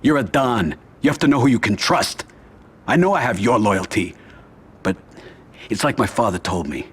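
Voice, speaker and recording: An actor playing a cold, controlled mafia don in a video game, grave and measured, with an American accent. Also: male voice, medium pitch, lively intonation, a bit bright, husky. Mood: calm, commanding. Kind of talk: video game cutscene acting.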